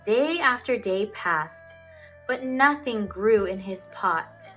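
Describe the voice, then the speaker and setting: Lady teacher doing story time for kids, slow n super expressive, up and down pitch. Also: soft background music, rough recording. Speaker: teacher reading children's storybook aloud. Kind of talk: expressive children's storybook reading.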